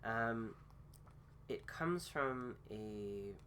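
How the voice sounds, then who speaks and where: A man at middling pitch talks slowly through a casual philosophy talk, his voice a bit rough from a cold he is getting over. He hesitates often, filling gaps with ums and starting phrases twice. A faint hum sits behind him. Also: lively intonation, a bit bright. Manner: slow, hesitant, many fillers and restarts. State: recovering from a cold. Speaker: host.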